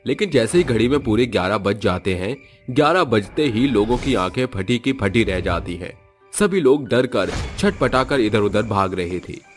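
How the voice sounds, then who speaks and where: A man delivering dramatic scripted narration for a cartoon horror story. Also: on the low side.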